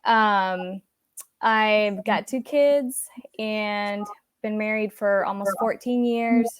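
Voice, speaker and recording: Woman, 30s, from Venezuela, slow, relaxed podcast guest, dry audio. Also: mid-range voice, clean tone, no room sound. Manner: joking, relaxed. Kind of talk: casual self-introduction.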